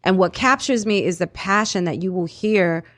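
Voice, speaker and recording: Female radio conversationalist, slightly low pitch, earnest and informal, close dry sound. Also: normal speed, a bit bright, dry recording.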